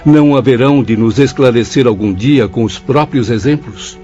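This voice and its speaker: A man's voice: mid-range voice, moderate pace, dark tone, lightly acted. An actor playing an earnest, cultured male professor in a theatrical radio drama, with a Brazilian accent. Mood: earnest admiration. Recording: muffled audio with music.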